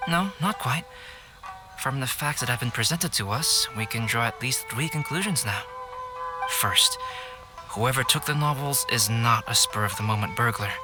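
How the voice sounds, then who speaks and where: Man as game scholar, calm, analytical, precise, mid-range voice, clear backing music. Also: medium pitch, natural voice. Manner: measured, precise, composed. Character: calm, analytical young male scholar, composed deducer. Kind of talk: video game cutscene acting.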